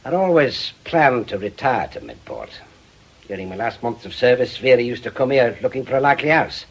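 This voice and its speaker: A man's voice: mid-range voice, very animated, fairly quick, low-quality recording. An actor with a British accent playing a brusque, sardonic, middle-aged retired policeman in a radio drama. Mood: bitter. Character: gruff, bitter retired policeman, brusque and proud.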